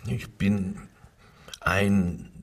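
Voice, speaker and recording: An actor playing a submissive, evasive railway worker under interrogation, hesitant and deferential, in a crime radio drama. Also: male voice, fairly low pitch, steady tone, drawn-out words, far from the mic. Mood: cornered, hesitant.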